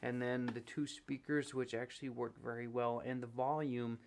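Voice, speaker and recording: A man's voice: medium pitch, flat delivery, moderate pace, a little mellow. A man giving a casual product review, explaining things step by step in a plain, matter-of-fact way.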